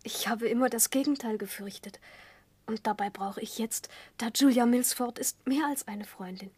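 A woman's voice: a little high, normal speed, a little mellow, husky, dry recording. An actress playing a childish, playful young woman with a coquettish, naive, half-whispering manner in a 1960s German radio play. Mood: shy, confiding.

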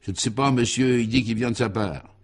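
A man's voice: medium pitch, a little fast, lightly acted. Man playing an obsequious, long-winded valet. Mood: polite, hesitant. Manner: deferential, long-winded, circling phrases. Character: obsequious, wordy hotel valet, a male servant. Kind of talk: comic radio serial.

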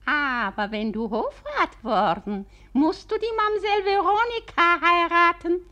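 Shrill, spiteful old witch. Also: expressive, unhurried, pushed and bright, muffled recording, heavily acted. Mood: scheming, taunting. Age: older. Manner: gloating, taunting, cackling. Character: spiteful old apple-woman witch, mocking and taunting. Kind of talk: German radio play acting.

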